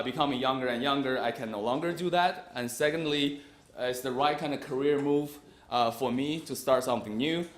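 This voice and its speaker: A young man giving a competitive debate speech, arguing his case with frequent hesitations and fillers. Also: medium pitch. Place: university debate room. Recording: echoey room.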